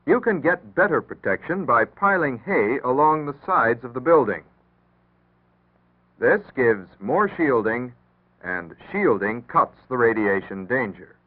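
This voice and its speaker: An American man reading scripted 1960s public service narration in a measured, earnest, instructive manner. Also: mid-range voice. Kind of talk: 1960s civil defense PSA scripted narration. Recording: tinny band-limited 1960s broadcast audio.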